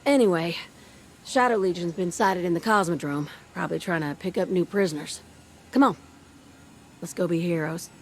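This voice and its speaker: A woman's voice: fairly low pitch, moderate pace, pauses often, darkish tone, a little hiss or hum, lightly acted. Plucky, folksy young woman played by an actress. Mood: determined, wry. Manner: casual, folksy, earnest. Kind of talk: video game cutscene acting. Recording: background music under the voice.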